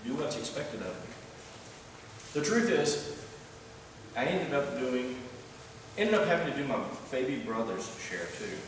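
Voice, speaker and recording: A man's voice: medium pitch, sing-song, a little slow, long pauses. A man playing a jealous, dutiful elder brother in a church readers theater monologue, grudging and complaining with emphasis. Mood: resentful. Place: large church room, live before congregation. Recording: echoey church hall, distant, clear background noise.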